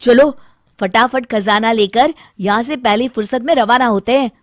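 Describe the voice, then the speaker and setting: A woman's voice: a little high, normal speed, dry recording, clean studio sound, close to an everyday voice. A woman voicing an earnest, cautious young man in a cartoon story, talking to his friend. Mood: urgent.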